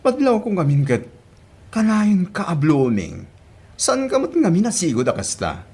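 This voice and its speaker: A nosy female friend acted by a woman in an Ilocano radio-style drama. She teases and exclaims in a husky voice that swoops wildly up and down. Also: fairly low pitch, sing-song, warm tone, full character voice. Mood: teasing. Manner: exclaiming, drawn-out teasing.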